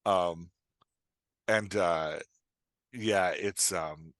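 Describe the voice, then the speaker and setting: A man talking casually as a podcast guest and writing coach, explaining in an informal, halting way with emphatic check-in questions. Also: low voice, fairly bright tone, dry recording, clean studio sound.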